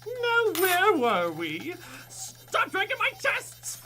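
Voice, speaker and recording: A giddy, fiendish mad scientist acted by a woman from a comic radio play, pitched extremely high with wild melodic swings. The delivery is slow, bright and theatrical, cloying and scheming in stiffly formal phrases. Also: huge pitch swings, soft background music, full character voice. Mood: gleeful.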